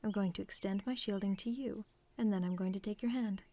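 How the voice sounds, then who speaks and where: A woman's voice: mid-range voice, warm tone, lightly acted. Woman acting a sardonic telepathic villainess. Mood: intent, reassuring. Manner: sardonic, theatrical, drawn-out deliberate phrasing. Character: mocking telepathic villainess, menacing but softening to tender. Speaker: audio roleplay performer. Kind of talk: ASMR roleplay acting.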